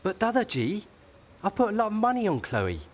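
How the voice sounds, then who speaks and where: An actor playing a hopeful, pleading nephew, informal, in a radio soap. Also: male voice, sits high, huge pitch swings, a little fast, exaggerated voice. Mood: anxious, hopeful. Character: hopeful younger male nephew, worried gambler.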